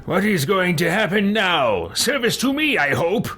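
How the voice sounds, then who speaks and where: High-pitched, pompous villain. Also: male voice, very animated, unhurried, sharp tone, breathy edge, full character voice. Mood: haughty, scheming. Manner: pompous, theatrical. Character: imperious, self-serving, panicky male villain. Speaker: let's-play player role-playing his character. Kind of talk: in-character game roleplay.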